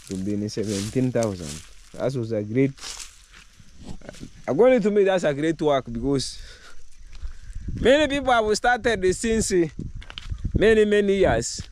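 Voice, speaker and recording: A middle-aged man from Kenya with a Kenyan accent, hoarse from a cold, chatting to his camera while he works outdoors in his village. He repeats words and jumps up in pitch when he stresses a point. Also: darkish tone, a little hiss or hum. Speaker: vlogger. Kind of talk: casual vlog to camera. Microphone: camera held at arm's length.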